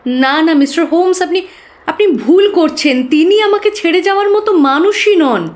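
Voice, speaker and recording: High-voiced, earnest young woman. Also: lively intonation, normal speed. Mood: distressed, loyal. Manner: earnest, careful storytelling. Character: earnest, trusting, troubled young woman client. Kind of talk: Bengali audio drama, recounting events.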